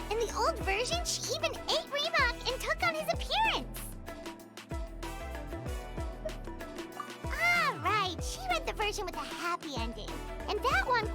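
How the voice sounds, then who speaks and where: Very high-pitched childlike fairy companion. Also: female voice. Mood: puzzled, accommodating. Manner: childlike, expressive, refers to herself by name. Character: small chirpy fairy-like girl companion, excitable, talks in third person. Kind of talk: video game character dialogue.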